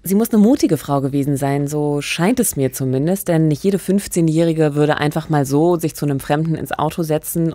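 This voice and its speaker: A woman's voice: low voice, darkish tone, no echo. A middle-aged woman hosting a true-crime podcast from a radio studio, reflective and musing aloud. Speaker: podcast host. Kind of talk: scripted intro and thoughtful podcast chat. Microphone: large studio mic on a boom, headphones.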